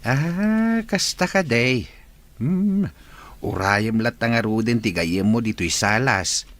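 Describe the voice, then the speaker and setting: A man's voice: mid-range voice, expressive, slow, a bit bright. A man with a Filipino accent playing a kindly, homely older father in a radio drama. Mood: homely, welcoming.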